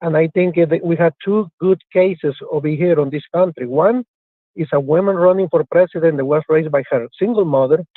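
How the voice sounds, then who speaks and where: A man's voice: on the high side, normal speed, dry recording. A man calling in to a radio show, offering his view hesitantly and searching for words. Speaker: caller. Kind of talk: phone call-in debate. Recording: tinny phone line.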